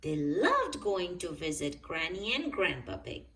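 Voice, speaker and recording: A woman's voice: on the low side, big pitch swings, a little slow, fairly bright tone, far from the mic, slight background noise. A woman reading a children's story aloud expressively, speaking directly to young listeners. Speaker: storybook reader.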